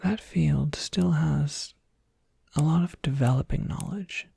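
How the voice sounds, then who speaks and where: A kindly monster academy professor played by an ASMR performer speaks in a very breathy whisper with a gravelly crackle. The delivery is slow and gently wry, helpful like an academic advisor. It is recorded close and dry. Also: mid-range voice, no room sound, full character voice. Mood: gentle, helpful. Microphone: close microphone, dry.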